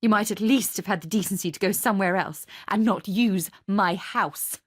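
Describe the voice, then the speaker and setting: A woman's voice: mid-range voice, moderate pace, husky, dry recording, clean studio sound, close to an everyday voice. A woman playing a jealous, faded mother in a radio drama, speaking in bitter, scornful accusations. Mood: cold sarcasm.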